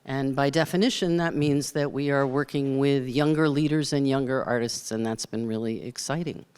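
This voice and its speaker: An older woman introducing herself as a panelist at a conference, speaking in a measured way with fillers. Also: very deep voice, steady tone, darkish tone, smooth. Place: large conference hall. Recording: long hall reverb.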